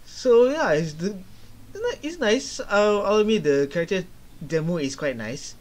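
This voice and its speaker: A young man with a slightly high-pitched voice talks casually, reacting as he goes. He speaks haltingly, often repeating a word before he gets to his point. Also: animated, a little mellow. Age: young adult. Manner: hesitant, halting, repeats words. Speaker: reaction video host. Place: small room indoors.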